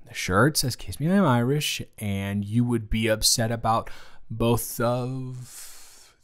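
A man acts out an awkward young guy in a romantic audio roleplay, sweet on his best friend. He speaks at a middling pitch, haltingly, stammering and teasing in an intimate one-sided conversation. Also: natural voice. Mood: flustered, nervous. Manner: hesitant, stammering, halting delivery.

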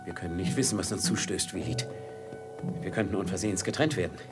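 An actor playing a troubled merchant on the run, in solemn, poetic 1950s radio play diction. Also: male voice, deep voice, fast, over music, close to an everyday voice. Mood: grave. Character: troubled merchant fleeing in shame through the desert night.

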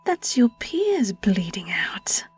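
A woman performs a vengeful female vampire with a British accent in a horror audio drama. She speaks slowly and theatrically, mocking her prey and dropping into silky whispers. The voice sits back in an echoing space with music behind it. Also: mid-range voice, sing-song. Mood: teasing, predatory. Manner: taunting, theatrical, slipping into breathy whispers. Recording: roomy, distant, music behind.

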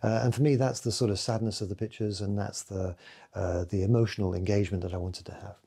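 A man in his late fifties talks to camera from a room at home, his low voice gravelly and dark. He speaks reflectively and hesitantly, with frequent fillers. His softer passages fade almost to nothing, and a husky edge runs through it. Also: steady tone, quick pace. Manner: reflective, hesitant, many fillers, trailing off softly. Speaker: photographer giving a recorded festival talk. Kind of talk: recorded talk to camera. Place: home room with bookshelves, indoors.

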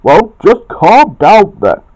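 An indignant, bossy male friend from a homemade story, put on by a man. He speaks in a raised pitch with very animated swings. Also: slow, exaggerated voice, rough recording. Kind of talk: amateur storytelling with acted dialogue.

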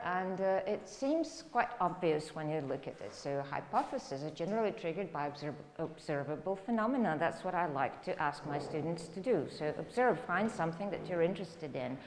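A middle-aged woman from Serbia with a Serbian accent, giving an academic lecture in a halting, explanatory way. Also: on the low side. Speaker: university lecturer. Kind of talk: academic lecture, spoken freely. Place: indoor lecture room, standing by a lectern. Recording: echoey lecture room with background noise.

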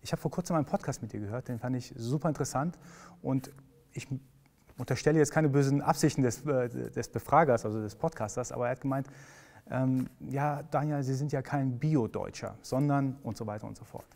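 A man's voice: mid-range voice, a little fast, creaky, a little mellow, big-room sound. A man hosting a podcast, asking friendly questions with hesitant fillers. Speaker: podcast host. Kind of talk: conversational podcast interview.

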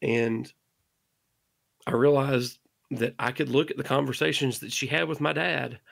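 A middle-aged man talks as a podcast guest, his voice carrying a gravelly rasp. He speaks with earnest conviction, pressing his listeners to keep making things. The sound is dry and close, with no room around it. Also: mid-range voice, fairly even intonation, measured pace, a bit bright, dry recording. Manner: impassioned, exhorting listeners. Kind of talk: earnest interview monologue.

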